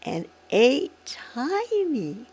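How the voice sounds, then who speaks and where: A woman reading a children's poem aloud through a face mask, deliberately, in short phrases with pauses between them. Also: high voice, huge pitch swings, darkish tone, slightly rough. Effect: speaking through a face mask. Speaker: guest story reader, former literature professor. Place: small resident's room in a care centre.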